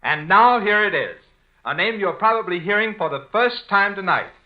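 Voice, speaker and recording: A man's voice: high voice, expressive, normal speed. An American man playing a formal, enthusiastic contest host in a 1940s radio announcing style. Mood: proud, formal. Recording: muffled 1940 radio broadcast.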